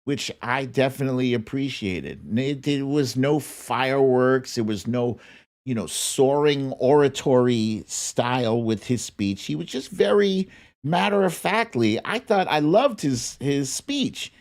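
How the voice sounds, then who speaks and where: A man's voice: medium pitch, lively intonation, measured pace, a little mellow. A man with an American accent giving casual, opinionated political commentary as a host, informal and emphatic.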